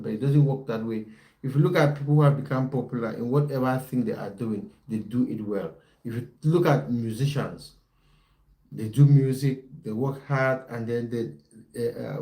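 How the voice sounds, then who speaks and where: A man's voice: medium pitch, dark tone, low-quality recording. A man from Cameroon, a football coach chatting on a livestream and reading out viewer comments. He repeats his phrases and talks straight to his viewers.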